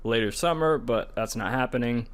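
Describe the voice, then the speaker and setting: A man with an average-pitched voice co-hosts a gaming podcast. His delivery is informal and conversational, like chat between friends. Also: moderate pace, clean tone.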